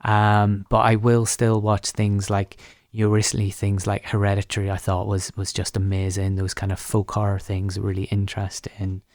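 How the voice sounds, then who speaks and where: Guy hosting a podcast interview, lowish voice, kinda tentative and wordy, really dry sound. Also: completely flat delivery, moderate pace, darkish tone, dry recording. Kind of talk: conversational interview.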